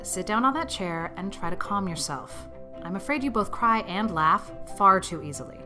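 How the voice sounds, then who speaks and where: A woman voicing a stern, practical older spinster in an audiobook, speaking in a brisk, grim, disapproving and matter-of-fact way. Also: mid-range voice, lively intonation, music in the background, lightly acted. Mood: gruff, matter-of-fact. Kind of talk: audiobook character acting.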